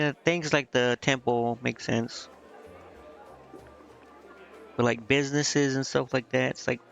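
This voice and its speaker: A man giving casual game commentary as a streamer, rambling and thinking out loud. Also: mid-range voice. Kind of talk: casual gameplay commentary, reading game dialogue aloud. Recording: muffled mic with clear background noise.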